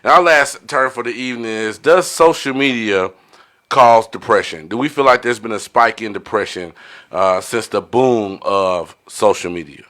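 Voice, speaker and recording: A man's voice: fairly low pitch, moderate pace, fairly bright tone. A man hosting a casual, unscripted chat, agreeing readily and repeating his phrases for emphasis. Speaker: podcast host.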